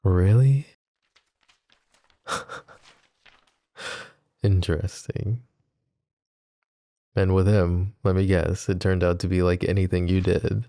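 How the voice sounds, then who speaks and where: A man voicing a possessive, protective mafia boss in an ASMR roleplay, talking in a calm, confiding way with casual swearing. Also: low voice, slow, vocal fry, pauses often, no echo, studio quality, exaggerated voice. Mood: candid. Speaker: voice actor.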